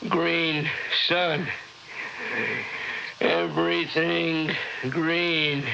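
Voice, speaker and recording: An actor playing a dazed, bitter young husband in a 1960s horror radio drama, speaking in halting, broken phrases. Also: male voice, a little high, level tone, vocal fry, sharp tone, full character voice. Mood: dazed, haunted. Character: dazed, bitter honeymooning husband trapped in a cabin. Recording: dull, noisy old radio recording.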